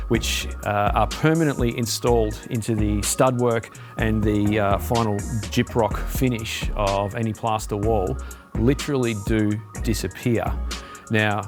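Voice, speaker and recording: An Australian man talks through a product line in a relaxed, conversational way, with plenty of fillers and restarts. His voice sits on the low side. Music plays clearly behind him. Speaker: business development manager. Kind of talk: product walkthrough. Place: demo facility.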